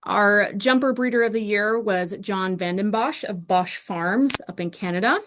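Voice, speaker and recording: A woman's voice: mid-range voice, measured pace, fairly bright tone, a little hiss or hum. A woman presenting an online awards ceremony, steadily listing winners and their breeding lines with frequent ums, speaking over a video call.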